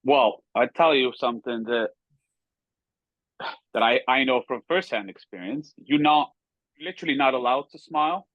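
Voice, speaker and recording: A man's voice: medium pitch, moderate pace, long pauses, dry recording, lo-fi sound. A man from Kazakhstan speaks, sometimes stopping to search for a word. He laughs at himself as he talks. The sound is dry and close. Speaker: podcast guest, former hockey player. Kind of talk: casual chat.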